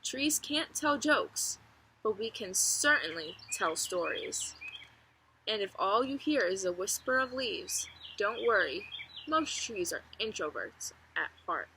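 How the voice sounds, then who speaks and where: A woman's voice: mid-range voice, animated, slow. A woman voicing a friendly, proud oak tree with wry, chatty humour in a children's storybook read-aloud. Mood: playful, confiding. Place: outdoors among trees. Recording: outdoor ambient noise, faint birdsong.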